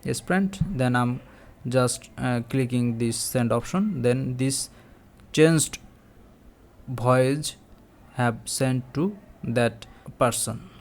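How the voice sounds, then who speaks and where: A man presenting a step-by-step app tutorial in halting, repetitive phrases. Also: mid-range voice, darkish tone.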